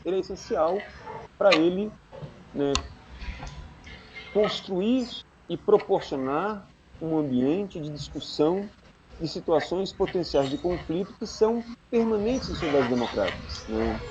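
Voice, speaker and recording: A man with a Brazilian accent giving an academic panel talk, explaining deliberately and often adding short tag questions. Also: a little high, very animated. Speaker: academic panelist, researcher and ethics lecturer. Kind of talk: academic panel presentation, extemporaneous. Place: remote online conference call. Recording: dull lo-fi online call, loud noise.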